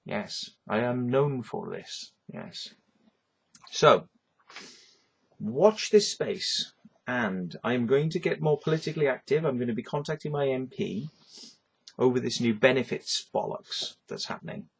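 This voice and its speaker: A man's voice: mid-range voice, animated, measured pace, vocal fry, slightly rough. A man with a Welsh accent delivering a rambling, swearing rant, tagging lines with "yeah" and "right". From Wales. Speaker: vlogger. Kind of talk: ranting monologue to camera.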